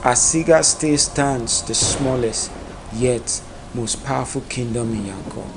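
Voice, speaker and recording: Male narrator, slow measured delivery, solemn dramatic folktale storytelling, mid-pitched voice, prominent background ambience. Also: background noise. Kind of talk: scripted narration of a dark epic folktale.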